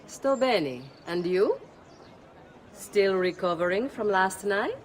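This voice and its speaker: A woman's voice: medium pitch, lively intonation, slow. An actress playing a refined, wry middle-aged noblewoman mother in a video game, with an Italian accent. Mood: gracious, teasing. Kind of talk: video game cutscene acting.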